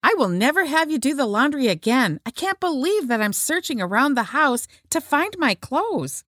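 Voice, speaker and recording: Woman reading scripted lines, lively pitch. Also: moderate pace, no echo, clean studio sound. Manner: clear, evenly paced scripted reading. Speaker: listening test voice actor.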